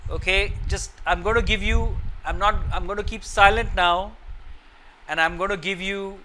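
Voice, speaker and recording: High, bright Indian man coaxing a class. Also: steady tone, moderate pace, a little hiss or hum. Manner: repeats each instruction, coaxing, asks "Okay?" often. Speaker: motivational speaker. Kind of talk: interactive session with students. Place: classroom full of students.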